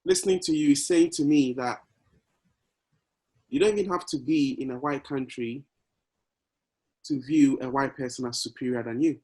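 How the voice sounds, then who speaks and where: A man in a relaxed podcast conversation who mulls things over out loud. He speaks in an ordinary, mid-range voice and often ends with a question to his partner. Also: medium pitch, normal speed, pauses often. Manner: reflective, talks things through and asks questions. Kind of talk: casual conversation.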